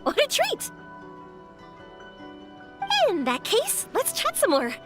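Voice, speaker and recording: A cheerful young fireworks maker in a video game. The voice sits very high and swings with animated pitch. The delivery is warm-hearted, chatty and excitable, over soft music. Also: female voice, takes it slowly, full character voice. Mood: bubbly, chatty. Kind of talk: English video game voice acting. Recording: music behind the voice.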